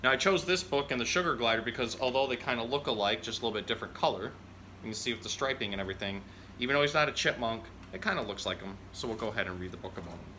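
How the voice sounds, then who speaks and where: A man's voice: medium pitch, expressive, rapid-fire, sharp tone, a little hiss or hum. A man talking in a casual, explanatory way as a zookeeper hosting an educational storytime for children. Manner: conversational, explanatory.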